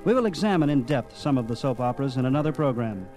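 A man's voice: medium pitch, lively intonation, fast talker, darkish tone, soft background music. An American man hosting a radio nostalgia program with scripted narration.

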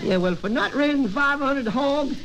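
A man's voice: very high voice, lively intonation, moderate pace, a bit bright, full character voice. A man playing an inquisitive, skeptical comedy partner in an old radio routine, speaking in folksy broken grammar on a noisy recording. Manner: questioning, folksy ungrammatical speech.

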